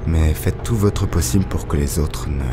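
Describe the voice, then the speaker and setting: A deep grave mysterious adviser, measured delivery, audio drama w music behind. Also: male voice. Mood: grave, warning. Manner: measured, cryptic.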